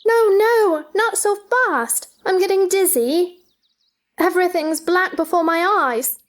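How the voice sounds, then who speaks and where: A woman's voice: extremely high pitch, slow pace. A woman playing a polite, puzzled, sensible young girl in a theatrical children's storybook drama, earnest and questioning. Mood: alarmed.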